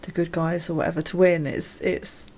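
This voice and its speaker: A woman's voice: lowish voice, level tone, normal speed, a little mellow. A woman co-hosting a podcast, chatting casually, agreeing often and laughing easily. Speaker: podcast co-host. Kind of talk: casual chat.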